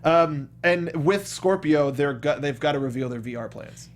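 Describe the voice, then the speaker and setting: A man in a casual panel chat about video games, an animated, emphatic co-host who likes to act out quips. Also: a little high, normal speed. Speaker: podcast co-host.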